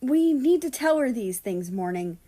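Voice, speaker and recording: A woman's voice: high voice, animated, normal speed, mellow, voice pushed up. A woman voicing an anxious guardian spirit, pleading and insistent, in a story reading. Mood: insistent, worried.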